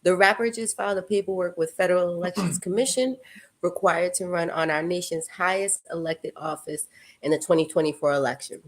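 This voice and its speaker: A woman's voice: lowish voice, fairly quick. A woman from New York with a New York accent, co-hosting a morning show, joking around and reading news items aloud. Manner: laughing, playful, reads news items aloud. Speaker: co-host.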